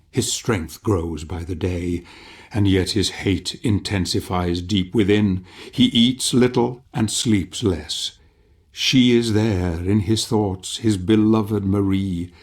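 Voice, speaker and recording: A foreboding storyteller for a dark fantasy game. His voice sits fairly low, grave and measured. He delivers scripted narration with solemn, ominous weight. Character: solemn, foreboding male storyteller of a gothic tale.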